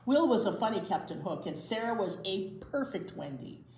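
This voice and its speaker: A woman reading aloud as a children's storytime host, expressively, with drawn-out emphasis on key words. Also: mid-range voice, big pitch swings, darkish tone. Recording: echoey room.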